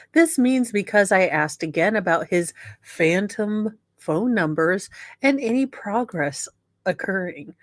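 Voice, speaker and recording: A woman's voice: mid-range voice, no echo. A woman host doing a dramatic reading of letters, with sardonic, mocking asides.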